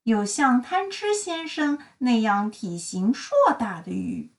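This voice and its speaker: High-pitched, animated woman reading, slightly distant. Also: gravelly. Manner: expressive, deliberate storytelling. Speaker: storybook reader. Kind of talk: bilingual children's read-aloud narration.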